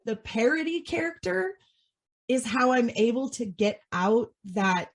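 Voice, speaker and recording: A woman's voice: a little high, expressive, measured pace. A woman hosting a podcast, chatting enthusiastically with her guest. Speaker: podcast host. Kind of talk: casual interview chat.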